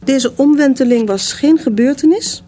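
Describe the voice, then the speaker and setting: A woman giving scripted historical narration for a radio drama in a measured, formal storytelling manner. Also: high-pitched, warm tone.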